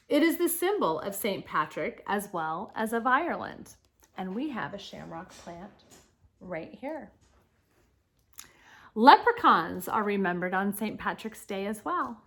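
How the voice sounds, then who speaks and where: A woman's voice: a little high, a bit bright. A woman teacher reading a picture book aloud to children, explaining things and speaking to her young listeners directly with casual asides.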